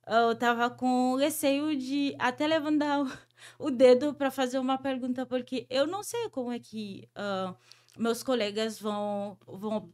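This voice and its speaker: A woman's voice: high voice, steady tone, unhurried, darkish tone, clear, resonant tone. A woman whose first language is French, hosting a podcast interview and talking in a hesitant way, with many fillers and restarts. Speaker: podcast host. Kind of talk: conversational interview.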